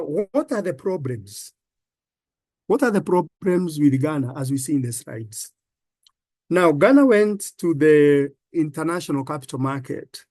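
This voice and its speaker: A man with a Kenyan accent, a finance professor giving halting comments on a panel with many fillers and repeated words. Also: fairly high pitch, darkish tone, no room sound.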